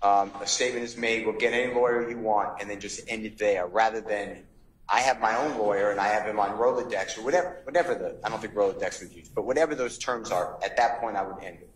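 A man's voice: on the low side, fast. A middle-aged man arguing as a defense attorney in a courtroom. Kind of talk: courtroom argument. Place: large wood-paneled courtroom. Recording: roomy courtroom echo, background noise.

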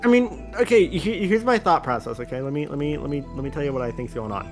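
A man acting as a grumbling, secretive dragon in a game roleplay. He is flustered and repeats himself, with lively swings in pitch over music. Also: quick pace. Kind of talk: improvised Minecraft roleplay.

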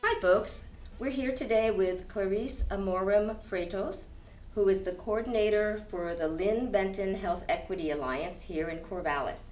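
A woman hosting a community radio interview show, asking questions calmly and attentively and giving soft mm-hmms. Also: medium pitch, moderate pace, far from the mic. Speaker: host. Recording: echoey room with faint music.

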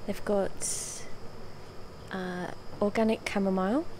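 A woman with a slightly croaky voice giving a slow, gentle, partly whispered tour of a quiet café. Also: mid-range voice, fairly even intonation, noisy background. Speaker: ASMR vlogger giving a tour. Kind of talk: soft-spoken whispered tour. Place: empty indoor café with people chatting nearby. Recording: background chatter from a nearby meeting.

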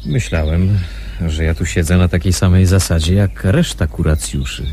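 Wary investigator for radio drama, male actor, deep and mellow, measured, faint noise behind. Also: fairly even intonation, lightly acted. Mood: wary, probing. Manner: measured, questioning. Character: wary, thoughtful undercover investigator, a man. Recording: noisy recording with background ambience.

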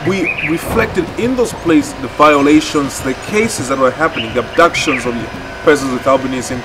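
A man, explaining a project in a documentary interview outdoors, with deliberate, halting phrasing. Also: fairly high pitch, noisy background. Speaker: theatre project leader. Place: outdoors in a leafy courtyard.

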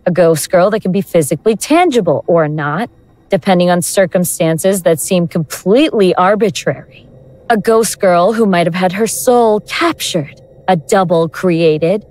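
A woman playing a slow, teasing female elder god. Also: medium pitch, a little mellow, natural voice. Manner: slow, teasing, condescending, self-possessed. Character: mocking, languid elder god in guise of a young ghost woman. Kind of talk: audio drama acting.

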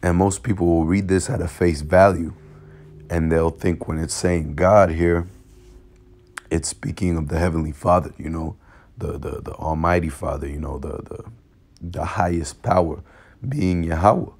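A man who is hoarse from a cold, with a raspy voice, teaching an informal bible lesson in a halting way, repeating words and using many fillers. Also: low voice, fairly even intonation, darkish tone. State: hoarse from illness. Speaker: religious teacher. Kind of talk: informal bible lesson over screen recording. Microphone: phone screen recording.

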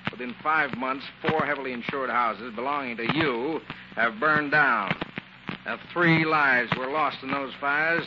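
A hard-nosed, authoritative police inspector acted by a man in a 1940s radio crime drama. He is brusque and stern. The sound is muffled, with clear background noise. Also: medium pitch, a little slow, lightly acted. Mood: accusing. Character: stern, no-nonsense police inspector interrogating a suspect. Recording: dull, hissy 1940s radio recording.

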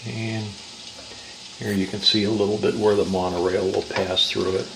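Low-voiced American man, unhurried hobbyist. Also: flat delivery, gravelly. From Southern California, US. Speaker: model train hobbyist presenter. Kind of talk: casual hands-on demonstration. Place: indoors in a home dining room. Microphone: handheld camera's built-in mic. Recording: noisy home camcorder audio.

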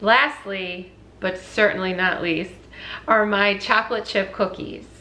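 A woman's voice: mid-range voice, fairly even intonation, unhurried, bright, forceful tone. A woman, hosting a casual recipe vlog in a chatty, informal way. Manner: chatty, informal, addressing viewers as guys. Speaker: host of a healthy cooking channel. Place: home kitchen, indoors. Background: clear background music.

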